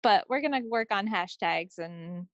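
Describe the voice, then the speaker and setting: A woman's voice: medium pitch, lively intonation, moderate pace, dry recording, dull audio. A woman co-hosting a hobby podcast, talking in a casual, chatty style. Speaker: co-host of a knitting video podcast. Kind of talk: casual chat.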